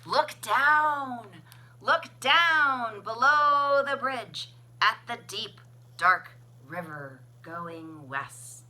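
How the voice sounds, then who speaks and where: A woman reading a children's picture book aloud as a librarian, with expressive, sing-song, deliberate phrasing. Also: fairly high pitch. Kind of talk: children's storybook read-aloud.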